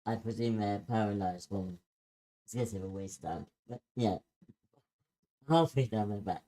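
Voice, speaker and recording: A man giving informal feedback in a group critique, speaking in a hesitant, halting way. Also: fairly low pitch, dark tone. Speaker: participant giving script feedback.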